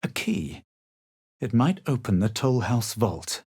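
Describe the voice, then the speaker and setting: An actor playing a haughty, brooding young adventurer in a fantasy video game, speaking in a measured, dry, superior way. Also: male voice, mid-range voice, big pitch swings, a little mellow, husky, dry recording, heavily acted. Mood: brooding, grave. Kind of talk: video game voice acting, dramatic narration and remarks.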